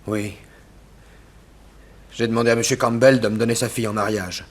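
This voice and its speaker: An actor playing a humiliated young suitor in a 1960s French radio drama, speaking bitterly and dramatically. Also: male voice, on the low side, level tone, moderate pace, lightly acted. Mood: bitter, confessing.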